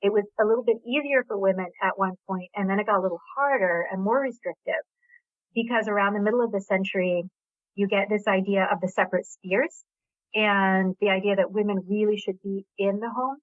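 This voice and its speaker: Woman, author guest, phone-line audio, dry sound, thoughtful, pauses and self-corrections. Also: medium pitch, no room sound. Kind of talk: explanatory interview talk.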